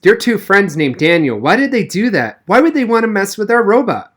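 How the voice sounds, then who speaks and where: Woman doing an earnest school girl asking stuff, high lilting voice, storybook read. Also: big pitch swings, fairly quick. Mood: puzzled. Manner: questioning, earnest. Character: earnest, pleading schoolgirl with practical questions.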